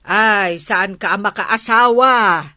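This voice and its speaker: A nagging, scolding woman in a radio drama. Her voice is bright and sharp at a medium pitch, recorded close and dry. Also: slow pace, no room sound. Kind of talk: Ilocano radio drama acting.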